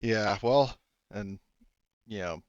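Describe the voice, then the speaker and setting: Male game master, deep-leaning and smooth, rambling, halting narration, close dry but slightly muffled sound. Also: dry recording. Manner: rambling, halting, self-interrupting. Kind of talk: casual tabletop game narration.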